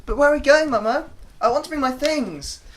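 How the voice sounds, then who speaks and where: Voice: sits high, fairly quick, slight background noise, close to an everyday voice. A performer acting in a radio drama as a small stubborn boy who protests in a childlike way. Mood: anxious. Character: small tired stubborn boy fleeing with his mother.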